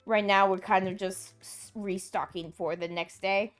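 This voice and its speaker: A woman's voice: medium pitch, fairly even intonation, unhurried, faint music behind. A woman hosting a game stream, chatting casually and reading game text aloud with frequent laughs. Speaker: streamer host. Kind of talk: casual gaming chat.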